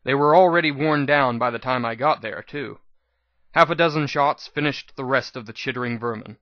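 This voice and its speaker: A man's voice: medium pitch, normal speed, dry recording, highs cut off. A man giving a dramatic first-person scripted narration as the reader of a fan fiction audiobook.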